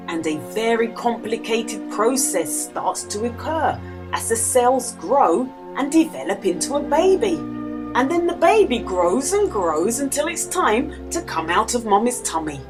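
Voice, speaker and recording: A woman with a high voice reads to young children as a storyteller. Her delivery is slow and very animated, with exaggerated emphasis and careful pauses. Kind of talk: expressive children's storybook read-aloud with quiz.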